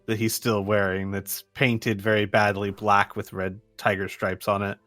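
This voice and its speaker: A man narrating as game master in a tabletop role-playing game, describing actions between character lines in an unhurried way. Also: fairly low pitch, little pitch movement, fairly bright tone, no echo.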